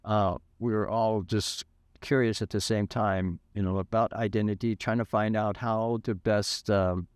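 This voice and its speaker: Man, seventies, low-pitched, unhurried interview talk with fillers, dry close sound. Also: fairly even intonation, no room sound. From California. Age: 70s. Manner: thoughtful, hesitant with frequent fillers. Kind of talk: reflective interview.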